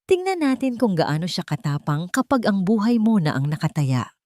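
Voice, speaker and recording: A woman's voice: medium pitch, big pitch swings, normal speed, a little mellow, dry recording, close to an everyday voice. A woman narrator acts out a vengeful male villain in an audio drama story. The delivery is menacing and taunting, with a dry, roomless sound. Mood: bitter, menacing. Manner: threatening, taunting.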